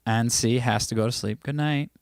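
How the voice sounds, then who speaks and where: A man's voice: medium pitch, moderate pace, vocal fry, no room sound. A man hosting a casual livestream, chatting loosely and teasing his viewers as he reads their comments aloud. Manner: rambling with frequent restarts. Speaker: livestream host.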